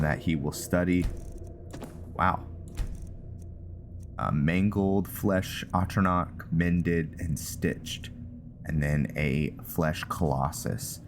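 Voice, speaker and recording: Low-voiced man, slow casual gaming commentary. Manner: halting, thinking aloud. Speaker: gaming channel host. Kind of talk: casual game roleplay commentary.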